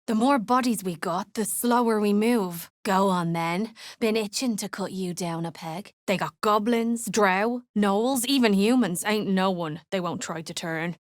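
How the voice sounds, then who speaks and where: Casting: actress for a tough female underground dwarf in a fantasy game; curt, sweary, menacing, measured pace, close dry sound. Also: mid-range voice, no room sound, close to an everyday voice. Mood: grim. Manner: coarse, blunt, curt, sweary. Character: gruff, foul-mouthed female duergar mercenary, threatening and mercenary. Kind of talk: video game character voice lines.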